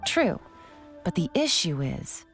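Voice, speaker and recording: An actress playing a shrewd, secretive female investigator in a video game, speaking in a measured, knowing, lightly teasing way. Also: lowish voice, very animated, over loud music, exaggerated voice. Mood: shrewd, analytical. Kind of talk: scripted video game dialogue.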